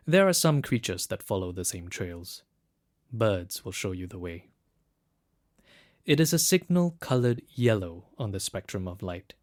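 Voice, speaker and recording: A solemn guide through ancient ruins in an audio drama. He speaks very slowly in a lowish male voice, hushed and deliberate, giving poetic instructions to the one who records. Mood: grave, cautioning. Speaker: narrator guide. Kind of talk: scripted audio drama narration.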